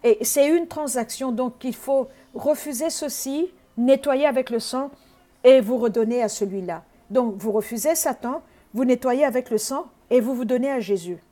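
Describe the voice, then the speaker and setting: A woman's voice: a little high, moderate pace, dark tone. A woman from Congo preaching an earnest sermon, teaching with frequent hesitations.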